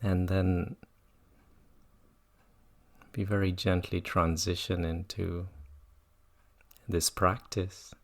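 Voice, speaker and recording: Meditation teacher, low pitch, very slow pace, gentle, unhurried guided-practice delivery. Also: little pitch movement.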